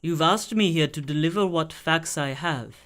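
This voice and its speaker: An actor playing a composed mystic swami in a radio play, speaking formally and in measured phrases. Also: male voice, on the high side, fairly bright tone, lightly acted. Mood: solemn.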